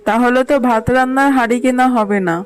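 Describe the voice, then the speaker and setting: A woman's voice: on the high side, steady tone, fast, lightly acted. A woman voicing a practical, indulgent fox mother who scolds, in a children's cartoon. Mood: scolding, relenting. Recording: music behind the voice.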